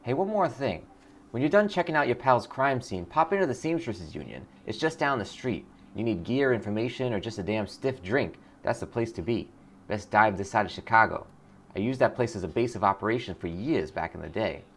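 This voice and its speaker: A man's voice: on the low side, animated, fairly quick. A man voicing a streetwise, cocky but friendly fugitive in a video game. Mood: friendly, brisk. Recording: clear background noise.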